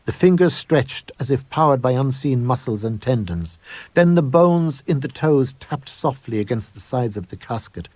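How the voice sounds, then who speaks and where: Man voicing a haunted, husky gentleman explorer. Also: medium pitch, no echo, lightly acted. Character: haunted gentleman explorer recounting horror in his journal. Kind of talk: dramatic scripted horror monologue.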